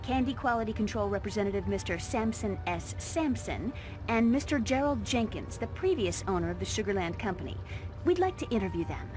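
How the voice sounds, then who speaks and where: Woman voicing a brisk, animated young investigator. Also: medium pitch, over music, natural voice. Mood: prim, businesslike. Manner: precise, lecturing.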